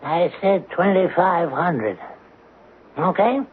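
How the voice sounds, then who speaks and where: Pushy male dealer, high-pitched, sarcastic hard bargainer, narrow vintage radio sound. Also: lively intonation, slow, breathy edge, heavily acted. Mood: impatient, pushy. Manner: needling, pushy, dryly sarcastic. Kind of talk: 1970s radio drama acting. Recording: band-limited, slightly noisy old radio broadcast.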